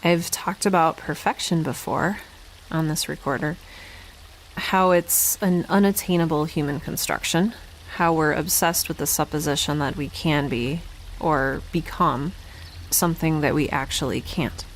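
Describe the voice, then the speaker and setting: A woman playing a guilt-ridden young scientist keeping an audio log in a halting, reflective, self-questioning way, as in an audio drama. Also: deep voice, a little hiss or hum, poor audio. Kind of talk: audio drama monologue, introspective.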